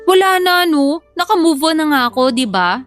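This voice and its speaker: A woman playing a soft-hearted young single mother with a hesitant, apologetic manner in an audio drama. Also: high voice, very animated, moderate pace, faint music behind. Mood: unsure, defensive.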